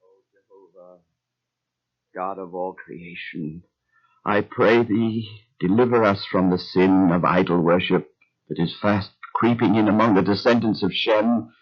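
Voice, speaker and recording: An actor playing an earnest, devout young husband who speaks in a measured way in an old-time radio drama. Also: male voice, sits low. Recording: muffled lo-fi old recording.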